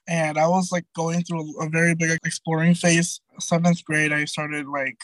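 A young man around twenty with a light, somewhat high voice, talking as a guest in a casual interview. He rambles in a friendly way, full of "like" and "um", and often restarts his sentences. The sound is close and dry. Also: level tone, normal speed, a bit bright, dry recording, poor audio.

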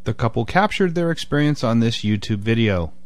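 A man reading a scripted community radio news segment in a crisp, pointed delivery. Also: medium pitch, animated, brisk, heavy background noise. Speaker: news reader.

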